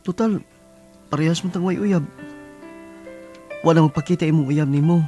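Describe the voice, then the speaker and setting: A man's voice: fairly high pitch, moderate pace, dark tone, soft background music, close to an everyday voice. A man acting in a radio drama as an earnest young working man, sincerely pleading his love. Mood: earnest wooing.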